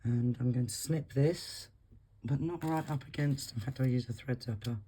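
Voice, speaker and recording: A man with a husky voice from a recent flu, calmly talking through a beading tutorial step by step while he works. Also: mid-range voice, normal speed. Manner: calm, counts beads aloud, talks while working. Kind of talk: step-by-step craft instruction.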